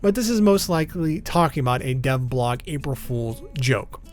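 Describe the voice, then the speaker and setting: A man's voice: mid-range voice, lively intonation, moderate pace. A man hosting an explainer video, reading scripted narration with casual asides and an informal, slightly stumbling delivery. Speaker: video host. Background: background music.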